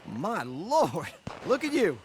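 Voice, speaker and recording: An actor playing a weary, plain-spoken marshal in a Western game, with a folksy American drawl. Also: male voice, high voice, wildly swooping pitch, slow pace, noisy background, exaggerated voice. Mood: weary, wry. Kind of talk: game cutscene acting.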